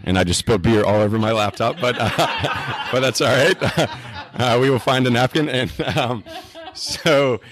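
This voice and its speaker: A man hosting a podcast, relaxed and laughing easily as he opens a group discussion. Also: mid-range voice, normal speed, noisy background. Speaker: podcast host.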